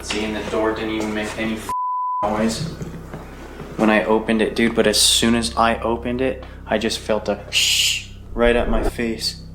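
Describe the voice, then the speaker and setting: A man hosting a paranormal investigation, speaking tensely and urgently and calling out to a spirit in a dark house. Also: lowish voice, monotone, measured pace, noisy background. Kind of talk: ghost-hunting commentary. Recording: roomy echo, faint ambience.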